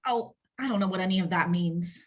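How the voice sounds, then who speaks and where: A woman acting an anxious, distrustful wife in a table read, with a husky voice. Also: lowish voice, fairly quick, natural voice. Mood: uneasy, suspicious.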